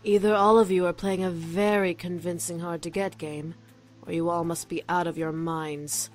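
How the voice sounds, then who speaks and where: An actress playing a haughty, seductive female demon in a visual novel game, taunting in a mocking, drawn-out, condescending way. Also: on the low side, level tone, lightly acted. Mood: cool, haughty. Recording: game audio with music behind.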